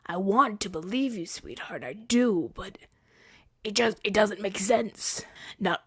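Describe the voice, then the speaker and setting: A man's voice: high-pitched, expressive, a little slow, fairly bright tone, breathy edge, no room sound, full character voice. Man acting an earnest, defensive rebel hero, dry recording. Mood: frustrated, pleading. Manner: conversational, stammering, exasperated arguing. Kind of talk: ASMR-style audio roleplay acting.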